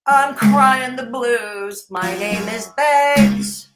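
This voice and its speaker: A woman voicing a whiny, broke man who sings a slow, drawn-out begging blues in a mocking sing-song. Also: a little high, loud music behind the voice.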